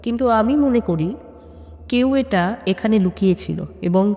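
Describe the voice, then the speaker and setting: A woman voicing a calm, sharp young female detective in an audio story, measured and confident in her reasoning. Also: medium pitch, in a large hall, lightly acted. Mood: calm, probing.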